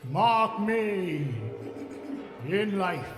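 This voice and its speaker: A tormented, ominous male ghost for a radio-style stage play, echoing through a long reverb. He declaims in drawn-out, mournful phrases and wails. Faint music sits behind him. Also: high voice, animated, heavily acted. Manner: slow, declamatory, wailing, drawn-out delivery. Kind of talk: radio-style stage audio drama acting.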